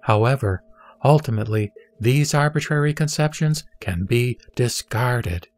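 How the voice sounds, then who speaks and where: A man playing the Buddha, a solemn spiritual teacher, reciting scripture in a measured audio drama delivery. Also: mid-range voice, faint music behind, lightly acted. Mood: solemn, instructive. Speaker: scripture reader.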